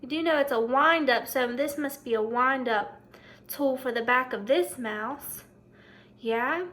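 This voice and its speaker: High-pitched woman reading to children. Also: a little slow, a bit bright. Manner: prompting listeners with questions. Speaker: teacher reading aloud to children. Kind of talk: children's picture-book read-aloud with commentary.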